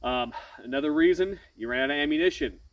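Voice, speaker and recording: A man's voice: on the high side, fast, far from the mic, highs cut off. A man with a head cold explaining things casually as a channel host, nasal-sounding and filling gaps with lots of ums and restarts. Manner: frequent "um" fillers and self-corrections. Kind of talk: casual firearms explainer to camera.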